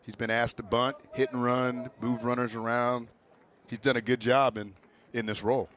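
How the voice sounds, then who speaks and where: A man's voice: mid-range voice, flat delivery, normal speed, fairly bright tone. American male baseball color commentator. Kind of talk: conversational sports analysis.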